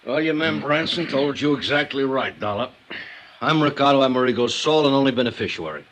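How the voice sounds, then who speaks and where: An actor playing a brash, cigar-chewing booking agent in a 1950s radio drama, blunt and boastful. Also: male voice, mid-range voice, animated, fairly quick, a bit bright, slight background noise, lightly acted, poor audio.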